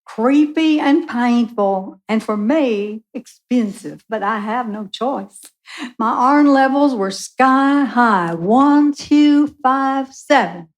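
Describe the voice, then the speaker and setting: A woman playing a griping, suspicious patient in a live radio play. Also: on the high side. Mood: wry. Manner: drawn-out, griping. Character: bitter, suspicious, complaining patient.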